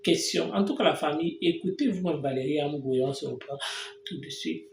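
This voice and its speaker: A man introducing a clip as a show host in a brisk, chatty presenter manner. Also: fairly high pitch, vocal fry, darkish tone. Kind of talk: channel intro. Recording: echoey room with faint noise.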